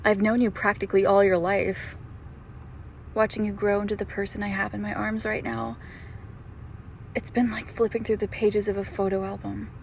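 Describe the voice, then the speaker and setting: A woman playing a doting, possessive girlfriend who is secretly a monster, in an intimate, hushed roleplay audio drama. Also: medium pitch, level tone, vocal fry, a little hiss or hum, close to an everyday voice. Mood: loving, intimate. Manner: slow, hushed, tender, often dropping to a whisper.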